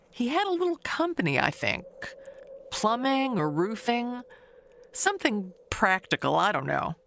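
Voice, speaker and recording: An actress plays a gossipy older woman chatting about the neighbors in an audio mystery tale. Her pitch rises and dips expressively as she takes her time, halting and confiding with sly wistfulness. Faint noise sits behind the voice. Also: fairly bright tone, exaggerated voice.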